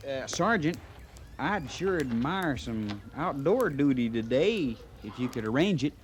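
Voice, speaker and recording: An actor playing an easygoing, dreamy cavalry trooper with a rural western American drawl, in old-time radio western style. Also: male voice, mid-range voice, very animated, unhurried. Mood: eager, obliging. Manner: drawling, folksy, hesitant under pressure. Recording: noisy old radio drama recording.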